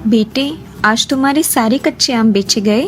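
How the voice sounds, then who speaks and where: A woman's voice: a little high, moderate pace, natural voice. A woman voicing a caring village mother in a cartoon story. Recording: music under the voice.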